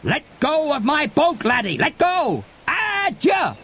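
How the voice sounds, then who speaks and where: A man's voice: very high-pitched, huge pitch swings, takes it slowly, fairly bright tone, slight background noise, voice pushed up, full character voice. A man voicing a theatrical, blustering sea captain in a dramatised children's audiobook. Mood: blustering, dramatic. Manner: melodramatic, archaic seafaring talk.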